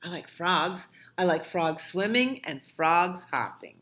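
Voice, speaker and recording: An eager, playful storybook child acted out by a woman. The delivery is emphatic and contrary, with big swings of pitch and favourite words stretched out slowly. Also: animated, fairly bright tone. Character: eager, insistent, playful young child full of likes. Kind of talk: picture-book dialogue read aloud.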